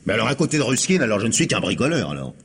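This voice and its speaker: A male ex-convict turned manservant, defiant and bitter. His voice is low and husky, with slangy sneers. It plays like an old French radio crime drama. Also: big pitch swings, rapid-fire, a bit bright, full character voice. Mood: bitter cynicism.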